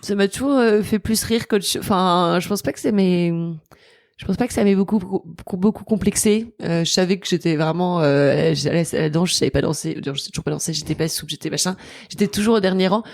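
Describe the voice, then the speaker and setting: Hesitant French woman, lower voice. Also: fairly quick, gravelly, a little mellow, no room sound, clean studio sound. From France. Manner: hesitant, lots of fillers and restarts, laughs often. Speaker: podcast guest. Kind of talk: casual interview.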